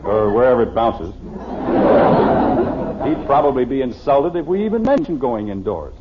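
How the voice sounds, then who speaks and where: An actor playing a stubborn, defensive middle-aged American suburban father in a blustery, exasperated 1950s radio sitcom style, with a husky voice. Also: mid-range voice, big pitch swings, moderate pace, heavy background noise, close to an everyday voice. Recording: dull, lo-fi old radio recording.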